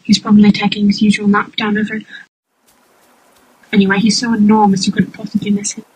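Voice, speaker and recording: A woman's voice: mid-range voice, monotone, fairly quick, darkish tone, slightly rough, no room sound, low-quality recording. A woman voicing a cheery, eccentric male inventor creature in an amateur cartoon dub, speaking in a genteel, airy, old-chap manner. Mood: airy, chummy.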